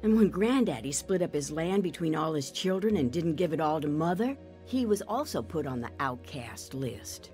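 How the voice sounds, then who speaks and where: A grown woman giving scripted first-person testimony in an audio drama. She narrates in a measured, reflective way, with clear music underneath. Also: low-pitched, very animated, dark tone. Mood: somber. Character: grown woman recounting her abusive childhood.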